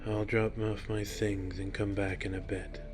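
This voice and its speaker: A man's voice: fairly low pitch, level tone, unhurried, a little mellow, soft background music, lightly acted. A man voicing a reserved, businesslike male traveler in a story, speaking in terse, understated phrases. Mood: reluctant, matter-of-fact. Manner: terse, clipped sentences, understated.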